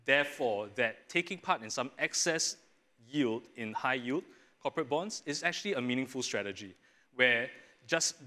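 A young man, a strategist on a studio panel, explaining clearly and fluently with small restarts, on a lapel mic. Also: mid-range voice, sharp tone. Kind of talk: panel discussion answer. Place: studio stage set.

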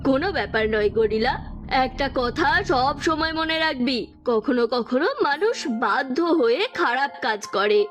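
A woman voicing a wise, forgiving magic moon in a moral cartoon, gently admonishing. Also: sits high, expressive. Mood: calm advice. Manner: admonishing, measured.

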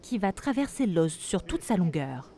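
A woman reading a scripted documentary voice-over in a measured, even way. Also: fairly low pitch, slight background noise. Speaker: documentary narrator. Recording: voice-over with music behind. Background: music.

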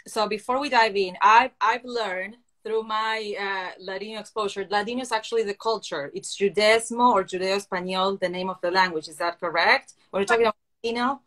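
A woman in her forties from Argentina, Spanish her first language, speaking English with an Argentine accent as she hosts a video-call interview from a lamp-lit living room, excited and stressing words slowly. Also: medium pitch. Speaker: host. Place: living room indoors with lamps. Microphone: video call, phone or laptop camera.

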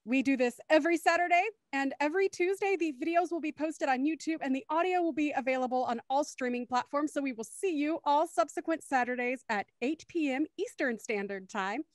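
A woman's voice: sits high, fairly quick, few pauses, no room sound, studio quality. A young woman hosting a casual after-show chat on a group video call. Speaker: host of a podcast after-show.

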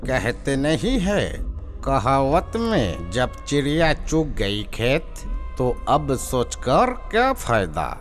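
An actor playing a smug, obliging courtier in a folk-tale cartoon, speaking theatrically. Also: male voice, mid-range voice, wildly swooping pitch, takes it slowly, faint music behind. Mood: gloating. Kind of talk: Hindi cartoon voice acting.

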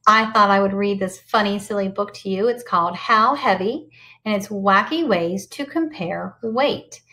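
A woman's voice: medium pitch, moderate pace, bright, forceful tone. A middle-aged American woman reading a picture book aloud to children and explaining it, in a sing-song way that stresses key words and rhymes. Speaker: primary school teacher reading aloud to children. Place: indoors at home, in front of a computer.

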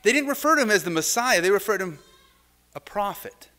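A man's voice: sits high, lively intonation, fast talker, bright, forceful tone. A middle-aged man preaching a sermon from a lectern in a church sanctuary, talking conversationally with restarts and asides, heard from a camera some way off. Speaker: pastor. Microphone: far camera.

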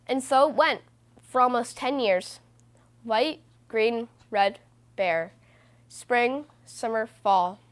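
A woman reading a children's book aloud as host, in a measured, deliberate way with stressed phrases. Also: a little high. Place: small room indoors.

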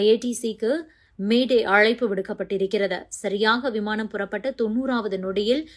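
Woman, medium pitch, news narrator, scripted delivery.

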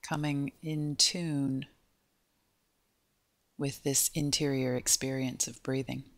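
A woman leads a guided body scan meditation at a very slow pace. Her delivery is gentle, with short phrases separated by pauses. The sound is dry and close, with little room to it. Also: very deep voice, flat delivery, no room sound. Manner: gentle, unhurried, pausing between short phrases.

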